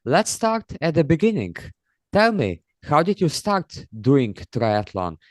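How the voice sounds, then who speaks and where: A man's voice: on the high side, wildly swooping pitch, slow, a little mellow, no room sound. A man hosting a podcast who opens with an intro and then asks interview questions in long, structured sentences. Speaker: podcast host.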